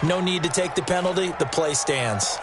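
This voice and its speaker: A man's voice: a little high, normal speed, a loud crowd, heavy background noise, natural voice. An American man giving conversational color commentary and analysis for a football video game.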